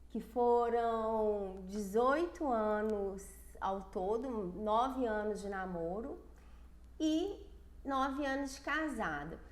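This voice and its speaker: Brazilian woman coach, stuffy nose from a cold, fairly high voice, earnest and personal, echoey room. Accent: Brazilian Portuguese. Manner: earnest, personal, with frequent restarts. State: congested from a cold. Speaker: self-esteem coach. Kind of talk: casual livestream talk.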